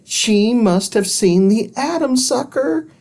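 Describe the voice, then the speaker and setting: Young storybook girl, played by a man, high voice, exclaiming, dryly witty, lively swings in pitch. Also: takes it slowly, exaggerated voice. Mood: alarmed. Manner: exclaiming, exasperated. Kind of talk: children's storybook reading.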